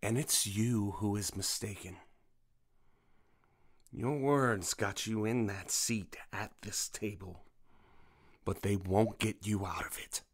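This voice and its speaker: Slow, menacing, vengeful American father. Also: on the low side, husky, heavily acted. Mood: cold, commanding. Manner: deliberate, controlled menace. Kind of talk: dramatic audio drama acting.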